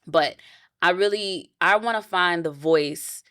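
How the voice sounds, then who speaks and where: A woman, talking openly as a guest in a casual interview, animated and self-reflective with many restarts. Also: slow, no echo, studio quality. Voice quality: deep voice.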